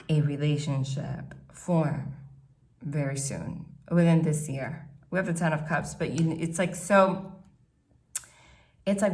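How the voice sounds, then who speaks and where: A woman's voice: fairly low pitch, a little mellow. A woman from the New Jersey and New York City area with a northeastern American accent, giving a chatty, rambling tarot reading to camera at home. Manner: chatty, rambling, frequent "like" and restarts. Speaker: tarot reader. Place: hot room at home.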